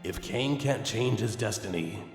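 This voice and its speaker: A man voicing a gruff, sardonic, resentful vampire brother in a dramatic story, with a deep, blunt delivery. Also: expressive, unhurried. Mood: brooding. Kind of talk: dramatic storybook character reading. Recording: echoey long reverb over background music.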